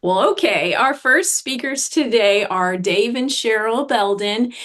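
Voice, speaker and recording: A woman's voice: on the high side, animated, a little slow, fairly bright tone. A woman hosting a training session on a video call, introducing speakers in an upbeat way.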